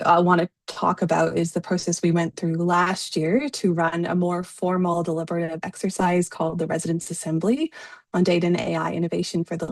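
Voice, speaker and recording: A woman research fellow introducing her work on a webinar panel, speaking in a clear, organised and fluent way. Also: on the low side, a little mellow, no echo. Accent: non-Liverpool English. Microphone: video call.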